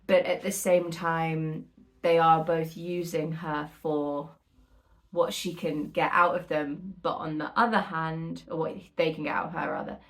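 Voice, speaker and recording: Low-voiced woman, chatty vlogger. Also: fairly even intonation, unhurried. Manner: informal, hesitant, self-correcting, rambling storytelling. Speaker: book vlogger, host. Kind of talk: casual chatty book vlog, reviewing reading.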